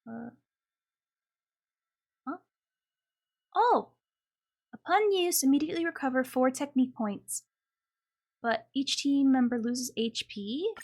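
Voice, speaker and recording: A woman's voice: high-pitched, takes it slowly, pauses often, a little mellow. A woman doing casual, playful live game commentary as a streamer, given to exclaimed reactions.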